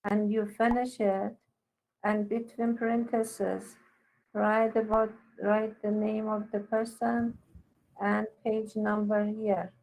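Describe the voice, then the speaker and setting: A woman teacher giving halting, corrective and instructive one-to-one feedback on a student's writing. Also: mid-range voice, little pitch movement, gravelly, darkish tone, poor audio.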